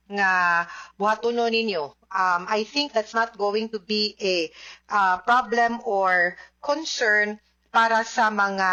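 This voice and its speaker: A woman's voice: mid-range voice, pushed and bright, highs cut off. A woman with a Cebuano Filipino accent explaining answers to listener questions as an advice host, slipping into English and adding little checking tags. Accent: Filipino, Cebuano Bisaya.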